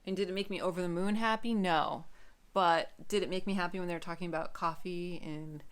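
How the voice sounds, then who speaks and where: A woman book vlogger chatting casually to camera in an expressive, emphatic way. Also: lowish voice, a little fast, clear, resonant tone.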